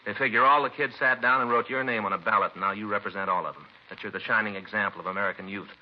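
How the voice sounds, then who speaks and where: An actor playing a stern, indignant, world-weary police detective in a clipped hard-boiled style of 1950s radio drama. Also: male voice, on the low side, racing, natural voice. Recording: dull, noisy vintage radio recording.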